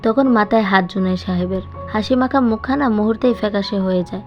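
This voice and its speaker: A woman narrates a dramatic novel in a scripted storytelling style. Her voice sits at a medium pitch. Music runs behind her, and the recording sounds a bit muffled. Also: quick pace. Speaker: audiobook narrator.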